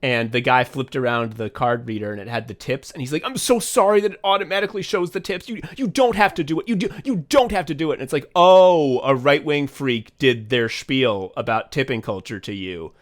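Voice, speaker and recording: A man co-hosting a podcast tells long, rambling stories in casual chat. He swears freely, restarts his sentences and gets animated as he goes. His voice sits at a middle pitch for a man. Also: brisk, hardly any pauses. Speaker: podcast co-host. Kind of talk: casual behind-the-scenes chat.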